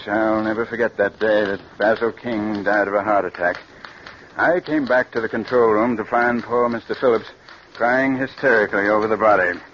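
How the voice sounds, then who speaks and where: A practical, concerned man in charge as a male actor plays him in an old radio play. His voice is fairly low. The sound is dull and slightly noisy, like a 1940s broadcast. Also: fairly bright tone, natural voice. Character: businesslike, concerned man running a radio show. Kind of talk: 1940s radio drama acting. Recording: muffled, noisy vintage radio recording.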